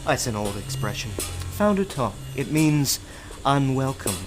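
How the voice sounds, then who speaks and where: A man acting in an audio drama as a calm, benevolent outlaw leader and protector, measured and wryly reassuring. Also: medium pitch, music in the background, rough recording. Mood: wry, in control.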